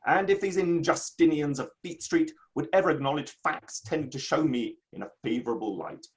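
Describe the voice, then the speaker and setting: A man's voice: on the high side, brisk, lightly acted. A man playing an easily affronted Victorian literary man in a play reading, declaiming in grand, formal, old-fashioned phrases. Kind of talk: online dramatic play reading.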